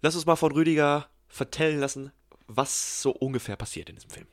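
A man's voice: fairly high pitch, fairly quick. A man chatting casually and with strong opinions about a film on a podcast. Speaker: podcast co-host. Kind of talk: casual film review chat.

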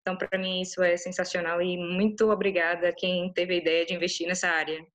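A woman's voice: on the low side, little pitch movement, fast, fairly bright tone. A woman from Bahia with a Brazilian Portuguese accent, answering interview questions in an enthusiastic, chatty way with many restarts. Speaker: interview guest.

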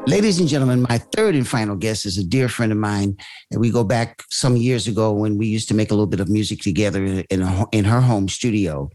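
A man hosting a podcast, chatting casually and warmly encouraging his guests. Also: lowish voice, fast. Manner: friendly, encouraging, laughs easily. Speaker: podcast host. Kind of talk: casual interview chat.

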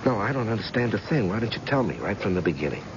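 An actor playing a friendly, curious, probing visitor in old-time radio drama, speaking in a casual, direct way. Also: male voice, fairly low pitch, sing-song, fast. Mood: concerned, probing. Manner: casual, inquisitive. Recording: dull, noisy old radio broadcast.

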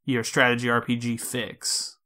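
Bright-voiced man co-hosting a casual podcast. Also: mid-range voice, completely flat delivery, moderate pace. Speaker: podcast co-host. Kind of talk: casual video game discussion.

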